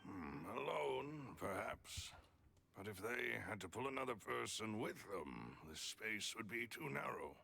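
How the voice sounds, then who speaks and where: A man playing a courteous, careful gentleman attendant in an anime video game, speaking formally and politely. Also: on the low side, big pitch swings, measured pace, vocal fry, exaggerated voice. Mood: considering.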